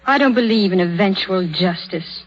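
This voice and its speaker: A woman acting as a troubled, strong-willed young heroine of 1930s radio drama. Her voice is husky and earnest, heard through a dull old recording with music faintly behind. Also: mid-range voice, moderate pace, natural voice. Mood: troubled, defiant.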